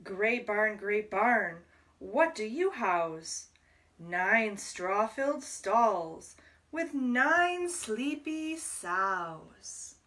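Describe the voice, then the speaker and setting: A woman reading a picture book aloud to young children as a library storyteller, deliberately, pausing to explain words. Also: mid-range voice, lively intonation, fairly bright tone, recorded from a distance.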